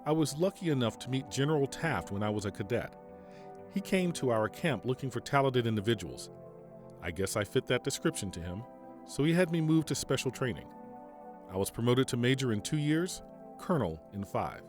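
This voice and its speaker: A man's voice: mid-range voice, soft background music, natural voice. A man playing a composed, formal mercenary general. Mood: measured. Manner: calm, formal, measured. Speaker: military general. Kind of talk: audio drama acting.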